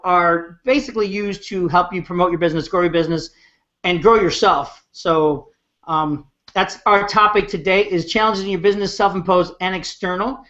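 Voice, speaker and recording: A man hosting an online hangout in a relaxed, rambling, conversational way over a video call. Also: high-pitched, muffled recording. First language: English. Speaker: host.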